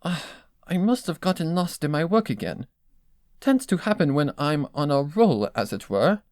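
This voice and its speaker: Storybook lady pony dressmaker, played by a woman: high-pitched, very animated, refined, gushing and theatrical. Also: moderate pace, dry recording, clean studio sound. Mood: flustered. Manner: theatrical, genteel, affectionate.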